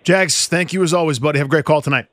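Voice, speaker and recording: A male sports radio co-host speaks at a rapid clip in a slightly high voice. He interviews casually and chattily, and the sound is very dry, free of room echo. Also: a bit bright.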